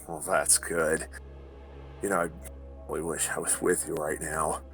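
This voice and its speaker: A man playing a creepy online predator on a call, coaxing and halting, with a domineering, menacing manner. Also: very deep voice, steady tone, fairly bright tone, soft background music, heavily acted. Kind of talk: voice call conversation.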